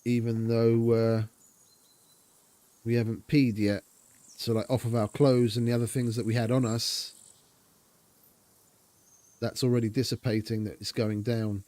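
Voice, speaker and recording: A man's voice: mid-range voice, level tone, a little slow, long pauses, warm tone, no room sound. A man giving casual commentary while streaming a game, thinking aloud and talking to his chat. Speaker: gaming streamer.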